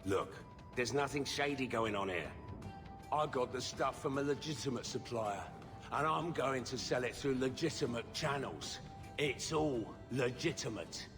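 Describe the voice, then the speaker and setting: A man's voice: mid-range voice, animated, a little slow, music in the background. A man playing a shifty, evasive smuggler, aggrieved and defensive, in a video game. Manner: defensive, exasperated. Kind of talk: video game dialogue acting.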